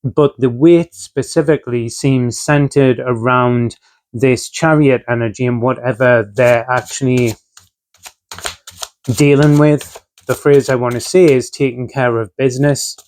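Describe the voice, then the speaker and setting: A young man with a medium-pitched voice, still rough and slightly off from a chest infection, talks through a tarot reading. He speaks in a relaxed, chatty way, drifting through ums and uhs as he lays out each card. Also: measured pace, slight background noise, low-quality recording. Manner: relaxed and conversational, frequent filler ums and uhs. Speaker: tarot reader. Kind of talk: casual tarot reading to camera.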